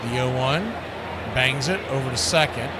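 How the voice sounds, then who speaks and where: An American man calling baseball play-by-play, mixing pitch calls with casual chat. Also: normal speed. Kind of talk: live sports commentary with casual chat. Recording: loud background noise.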